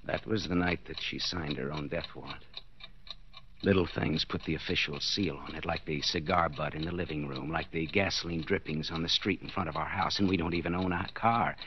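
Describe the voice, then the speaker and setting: An actor playing a desperate, jealous husband in a 1940s radio suspense play, halting and intense, repeating his words. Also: extremely low pitch, fairly even intonation, lo-fi sound. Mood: bitter, tense. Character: desperate, jealous husband, a clock repairman trapped bound in cellar. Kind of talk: 1940s radio suspense acting, inner monologue. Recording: noisy vintage 1940s radio recording.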